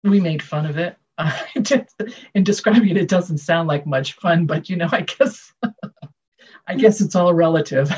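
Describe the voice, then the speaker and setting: An older American woman with a husky voice, answering interview questions with reflective storytelling. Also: lowish voice, a little slow, a little mellow. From Massachusetts, United States. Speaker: guest author. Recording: dull, muffled lo-fi audio.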